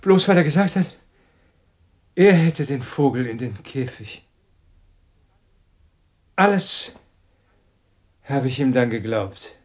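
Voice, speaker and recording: An actor playing an agitated male scientist in a radio drama, halting and intense, repeating himself. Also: medium pitch, animated, darkish tone. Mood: shaken, urgent. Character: Peter, agitated male scientist shaken by a death threat. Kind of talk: German radio drama acting.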